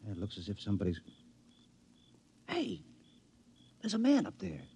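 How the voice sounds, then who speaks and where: A skeptical friend in a mid-century radio play. He sounds husky and mellow, hesitant and incredulous, with lively pitch movement. The recording has a dull vintage sound. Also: moderate pace, exaggerated voice. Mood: astonished, incredulous. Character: skeptical, hesitant married male friend, easily astonished.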